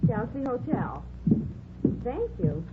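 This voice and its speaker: Courteous American hotel receptionist, formal, animated intonation, old radio drama, narrow tinny recording. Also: female voice, fairly high pitch, slow pace, heavy background noise. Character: polite, proper, puzzled female hotel desk clerk. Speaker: actress playing a hotel desk clerk. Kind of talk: 1940s-50s radio drama acting. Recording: tinny vintage radio recording, music behind.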